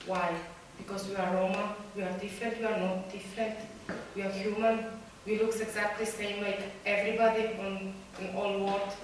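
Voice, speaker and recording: A woman whose first language is Romani, giving earnest personal testimony to a group in halting English with many pauses and restarts. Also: medium pitch, little pitch movement, slight background noise. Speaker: community speaker. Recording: echoey room, voice from far off.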